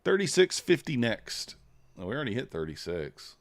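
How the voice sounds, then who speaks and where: A man's voice: medium pitch, very animated, moderate pace, no room sound. A man streaming live, chatting casually and thinking aloud with many fillers as he trades. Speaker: streamer trading futures live.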